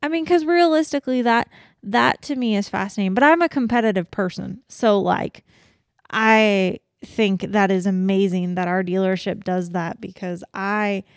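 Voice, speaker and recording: A woman's voice: mid-range voice, normal speed, dry recording, studio quality. A woman co-hosting a casual podcast, talking bluntly with plenty of swearing and laughter. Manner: blunt, profane, laughs often. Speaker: podcast co-host.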